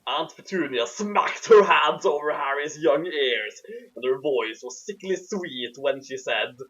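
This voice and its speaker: High-voiced man narrating, dull lo-fi sound. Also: measured pace, a bit bright. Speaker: narrator and co-host. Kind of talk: story narration with sarcastic commentary. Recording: dull, lo-fi voice recording.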